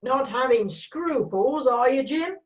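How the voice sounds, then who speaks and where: A resolute, formal cavalry officer read by a woman in an amateur drama. The voice is fairly high and unhurried, with measured military phrasing, on slightly muffled audio. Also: expressive. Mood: brisk, confident. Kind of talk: amateur screenplay read-through.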